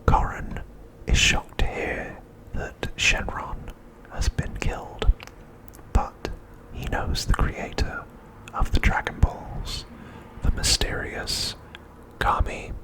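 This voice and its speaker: A man narrates in a steady ASMR whisper, soft and unvoiced. His delivery is slow and deliberate, leaving pauses between phrases as he reads out summaries. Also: low-quality recording. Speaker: host of an ASMR channel.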